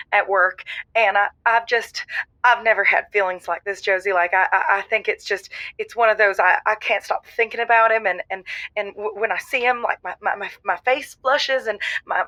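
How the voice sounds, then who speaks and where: A woman's voice: mid-range voice, pushed and bright, natural voice, rough recording. A woman playing a nervous radio caller bursting with pent-up feelings, stammering and repeating herself in a comedic audio drama. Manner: stammering, breathless rush of repeated words.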